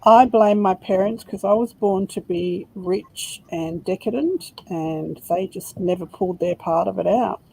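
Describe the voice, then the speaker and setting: An Australian woman crafting on a live chat, tossing in dry little jokes. Her voice is low-toned and a bit breathy, and she speaks slowly and evenly. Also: dark tone, poor audio. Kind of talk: casual chat.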